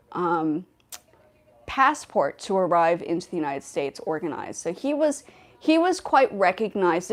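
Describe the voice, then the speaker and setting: A young woman hosting a casual livestream, talking in a rambling way with many fillers and restarts. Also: medium pitch. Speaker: livestream host.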